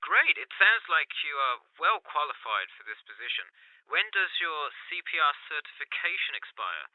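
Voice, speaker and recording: A man's voice: on the high side, big pitch swings, normal speed, no room sound. A man playing a polite, businesslike employer in a scripted phone interview, heard through a telephone filter. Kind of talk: scripted listening-test dialogue. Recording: thin, narrow telephone line.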